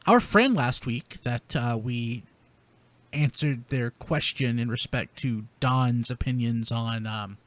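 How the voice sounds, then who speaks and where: A man hosting a casual panel podcast, talking haltingly and repeating words as he goes. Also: mid-range voice. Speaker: podcast host. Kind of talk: casual panel chat, reading listener mail.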